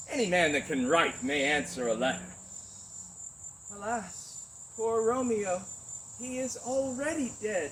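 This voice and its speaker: A man's voice: high-pitched, a little hiss or hum, exaggerated voice, rough recording. A man acting a witty, mocking young nobleman in a Shakespearean stage style, theatrical and declamatory.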